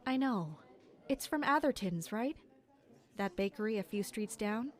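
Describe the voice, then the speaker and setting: A woman's voice: medium pitch, lively intonation, a little slow, close to an everyday voice. A woman playing a friendly, candid young bride-to-be of good standing in an audio drama, chatty and full of questions.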